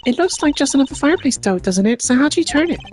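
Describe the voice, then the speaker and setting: Someone voicing an excitable young girl, pitched way up. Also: fast talker, a little hiss or hum. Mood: eager. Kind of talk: video game character voice-over.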